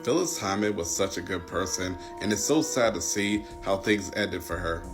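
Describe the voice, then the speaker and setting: Guy with kinda low voice, casual true crime storytime, guitar music under it. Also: moderate pace. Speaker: commentator retelling a celebrity story. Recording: music playing behind the voice. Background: background music with guitar.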